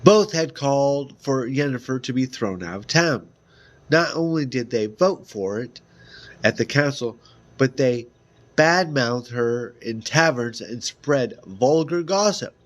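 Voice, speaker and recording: A man reading a fantasy novel aloud as an amateur, halting at times, with small stumbles and repeated words. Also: medium pitch, lively intonation. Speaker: amateur reader.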